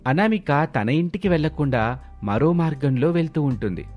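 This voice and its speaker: A man's voice: on the high side, animated, moderate pace, a little mellow, soft background music. A man narrating a scripted story as its narrator.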